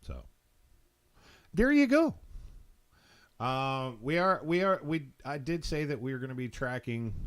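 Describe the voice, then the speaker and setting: An American man hosting a live call-in show, talking casually in a rambling, halting, informal way. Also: mid-range voice, fairly bright tone, smooth. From Texas, United States.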